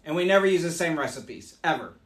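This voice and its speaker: A man in his forties, hoarse from losing his voice, chatting upbeat as he demonstrates mead making live in a small room. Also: fairly high pitch, animated, fast talker, a bit bright, echoey room. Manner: chatty, upbeat, explains as he works. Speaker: meadery owner hosting a live stream. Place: small home meadery room, indoors.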